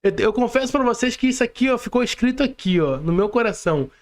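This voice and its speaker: A Brazilian man with a notably high voice hosts a podcast. He talks informally and emphatically, often repeating his phrases as he addresses guests and audience. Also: lively intonation, quick pace. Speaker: podcast host. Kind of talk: casual podcast hosting.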